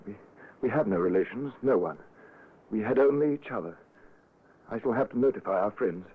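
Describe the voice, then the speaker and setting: Anxious bereaved husband, husky, swooping pitch, halting speech, radio play, muffled vintage recording. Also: male voice, expressive. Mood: defensive, distressed. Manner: halting, breaking off and repeating himself. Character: anxious, grieving suburban husband, outwardly respectable. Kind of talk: old-time radio drama acting.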